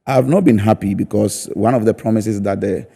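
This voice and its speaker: Man, Ghanaian accent, low pitch, very mellow dark tone, emphatic interview guest, repeats phrases for stress. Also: moderate pace, gravelly. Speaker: guest, stage play producer and theatre practitioner. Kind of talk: studio interview, opinionated discussion.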